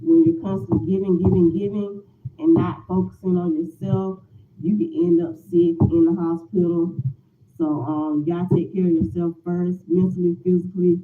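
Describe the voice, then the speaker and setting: A woman's voice: on the low side, normal speed, echoey room, phone-quality sound, a little hiss or hum. A woman in her forties, a lifestyle vlogger chatting casually to viewers, rambling and repeating herself with many filler words. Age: 40s. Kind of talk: casual morning chat to viewers.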